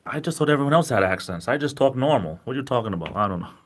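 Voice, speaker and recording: A man's voice: medium pitch, animated, rapid-fire. A man from Rhode Island with a Rhode Island accent, chatting casually and rambling self-deprecatingly as he hosts a livestream from home. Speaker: livestream host.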